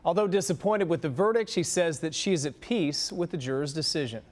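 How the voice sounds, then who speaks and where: A man anchoring a local morning TV newscast, reading stories in a brisk, upbeat way. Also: a little high, darkish tone. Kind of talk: scripted news reading.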